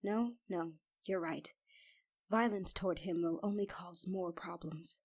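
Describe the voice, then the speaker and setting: A woman's voice: on the low side, measured pace, slightly rough. A woman playing a devoted, protective royal knight, speaking formally and earnestly in an ASMR fantasy audio roleplay. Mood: tender, protective.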